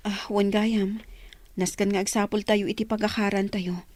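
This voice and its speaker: An actress playing an anxious, coaxing wife of a poor labourer in a radio drama. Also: female voice, medium pitch, flat delivery, normal speed, a little mellow, a little hiss or hum, lightly acted. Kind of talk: radio drama acting in dialogue.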